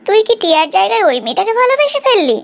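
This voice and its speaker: A woman's voice: extremely high pitch, sing-song, very fast, gravelly, heavily acted. A woman playing an indignant, bossy middle-aged mother in a cartoon family drama, scolding and exclaiming.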